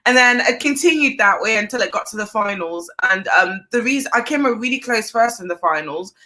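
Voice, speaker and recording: A young woman chef from Zimbabwe, chatting casually as an interview guest, warm and quick to laugh. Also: on the high side, animated. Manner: chatty, laughs easily, uses fillers.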